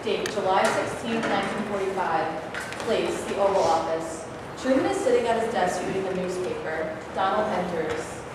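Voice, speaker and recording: A woman's voice: medium pitch, normal speed. A woman reading stage directions as scripted narration for a play reading. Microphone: far camera microphone. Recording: echoey hall, distant camera, noisy.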